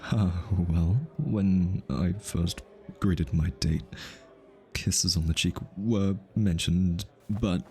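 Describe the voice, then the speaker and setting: Low-voiced, slow, flustered hitman played by a man. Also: a little mellow, a little hiss or hum. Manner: halting, stammering, nervously over-explaining. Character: easily flustered, lovestruck male hitman, awkward and analytical. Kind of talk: intimate ASMR audio roleplay.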